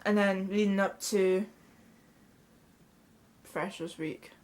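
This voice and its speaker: A woman's voice: medium pitch, steady tone, takes it slowly, a little mellow. A young woman with a Scottish accent chatting casually to camera in a small bedroom, blunt and swearing freely. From Scotland. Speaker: vlogger. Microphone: camera in front at close range.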